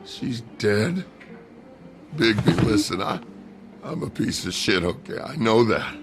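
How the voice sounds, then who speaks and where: A man playing a gruff, down-and-out woodsman, a bitter and self-loathing brute with a gravelly voice, in a dramatic video game. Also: fairly low pitch, sing-song, takes it slowly, over music, exaggerated voice. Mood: panicked, pleading. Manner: coarse, swearing, rambling.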